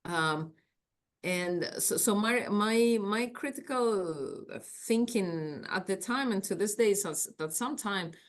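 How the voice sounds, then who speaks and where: A woman's voice: mid-range voice, takes it slowly. A woman from Greece with a Greek accent, giving a conference talk from her office over a video call on her laptop, thoughtful and searching for words with fillers. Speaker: academic panelist.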